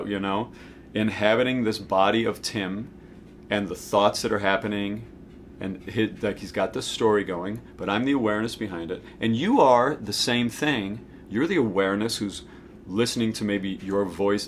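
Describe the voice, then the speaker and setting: A man's voice: lowish voice, a little hiss or hum. A man in his forties gives an informal spiritual teaching talk to camera, conversational and emphatic, checking in with the listener as he goes. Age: 40s. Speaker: host of a spiritual self-help video series.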